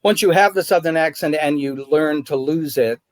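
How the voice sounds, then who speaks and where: A man who is the guest actor, telling stories in a relaxed way on a video call, sometimes laughing as he talks. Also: on the high side, normal speed, dry recording. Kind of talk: casual video call chat.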